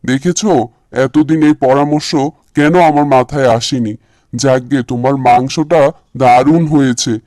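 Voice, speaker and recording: A man's voice: medium pitch, fairly even intonation, normal speed, a little mellow, no echo, studio quality, natural voice. A man voicing a weary, practical village fisherman husband in a cartoon. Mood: contented. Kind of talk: cartoon character dialogue.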